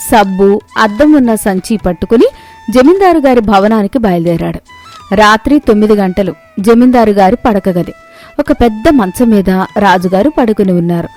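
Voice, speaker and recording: A woman's voice: medium pitch, darkish tone. A woman reading scripted narration of a moral tale as a storyteller.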